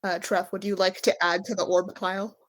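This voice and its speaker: A woman's voice: mid-range voice, level tone, fairly quick, fairly bright tone. A woman running a tabletop roleplaying game, improvising narration in a conversational, slightly hesitant manner, feeling her way through the scene. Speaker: game master.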